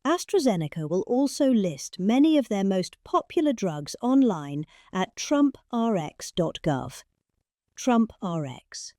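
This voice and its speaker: A middle-aged woman with a British accent reading a political speech in a calm, measured way, with long pauses and heavy emphasis. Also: mid-range voice, no room sound, clean studio sound. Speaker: reader.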